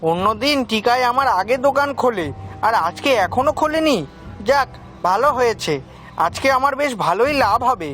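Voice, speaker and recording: Casting: male actor for an envious cartoon noodle seller, extremely high bright voice, scheming, theatrical delivery. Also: animated, moderate pace, faint music behind, heavily acted. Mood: gloating. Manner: gloating, scheming, melodramatic. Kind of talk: animated moral story voice acting.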